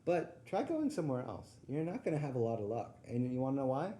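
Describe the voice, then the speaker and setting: A woman from the United States with a very low, mellow voice that swings widely in pitch as she jokes and teases her co-host. Her talk is casual and full of quick reactions. The room adds a noticeable echo. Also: big pitch swings. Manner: joking, teasing, quick reactions. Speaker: podcast co-host. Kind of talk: casual chat.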